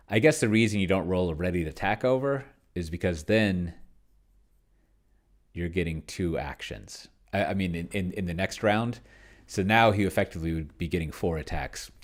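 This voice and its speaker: A man's voice: a bass voice, vocal fry. A man talking casually to himself in a rambling think-aloud game commentary, sometimes muttering and reading aloud as he types. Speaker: solo tabletop role-player demonstrating a game.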